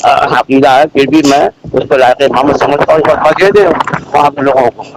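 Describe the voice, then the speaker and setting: Halting male cleric on noisy phone call. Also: medium pitch, fairly even intonation. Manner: halting, repetitive, defensive. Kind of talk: religious debate on a phone call.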